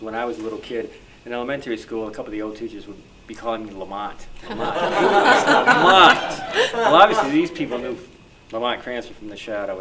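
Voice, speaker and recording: A middle-aged man chatting informally about himself as a hobby researcher at a club meeting, in a stop-and-start way. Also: fairly low pitch. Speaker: old-time radio researcher. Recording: live meeting recording with clear background noise.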